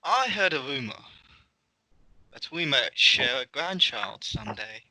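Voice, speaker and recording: A man's voice: mid-range voice, big pitch swings, a little slow, bright, forceful tone, no room sound, exaggerated voice, rough recording. A man playing a cool, haughty queen with pointed politeness in an amateur script table read. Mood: cool, condescending. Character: cool, condescending queen at a royal feast.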